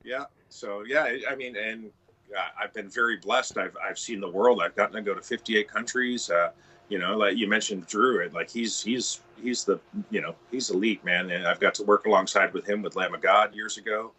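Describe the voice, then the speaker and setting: A man's voice: on the low side, moderate pace, pushed and bright, breathy edge, rough recording. A middle-aged Canadian man from Ontario, chatting as a guitar tech guest in a casual interview, rambling with many restarts and "you know"s.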